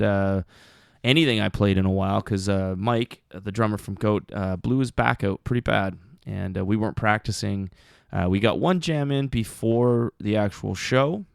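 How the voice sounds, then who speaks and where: A man's voice: fairly low pitch, vocal fry, clean tone, no room sound, studio quality. A man hosting a podcast in casual chat, with a mousy voice that is still recovering from a show, rambling along with lots of "uh" fillers and the odd swear. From Canada. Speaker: podcast host.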